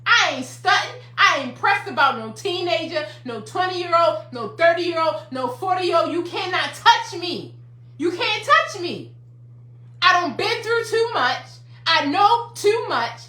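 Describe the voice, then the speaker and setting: Emphatic fifties woman, lively bright voice. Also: very high voice, measured pace, roomy sound. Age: 50s. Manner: emphatic, repetitive, preacherly. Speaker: counselor and life coach. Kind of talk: motivational livestream talk.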